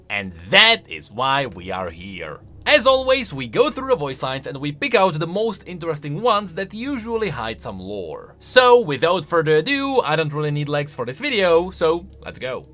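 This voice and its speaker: Lively, bright-voiced man commentating on games. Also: on the high side. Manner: chatty, rhetorical questions, emphatic swings in delivery. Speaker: video game lore commentator. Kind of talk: casual scripted video commentary.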